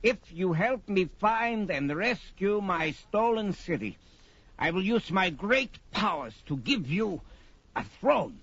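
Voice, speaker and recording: High-pitched, indignant old sorcerer. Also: male voice, sing-song, slow, fairly bright tone, a little hiss or hum, heavily acted. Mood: boastful, crafty. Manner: theatrical, emphatic. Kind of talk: 1970s audio drama record acting. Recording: dull vintage story record.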